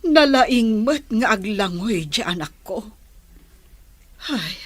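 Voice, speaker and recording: A woman with an Ilocano accent playing an anxious, sorrowful older mother in a radio drama, her voice husky. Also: medium pitch, lively intonation, slow pace, no echo. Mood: worried, doubtful. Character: worried older mother of a missing son.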